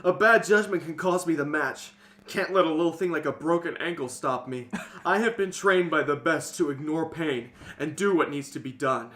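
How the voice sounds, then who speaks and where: Man acting a bright-voiced, determined warrior hero. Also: fairly high pitch, moderate pace, lightly acted. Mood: grim resolve. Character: proud, determined male warrior hero, righteous and indignant. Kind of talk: amateur fantasy reader's theater.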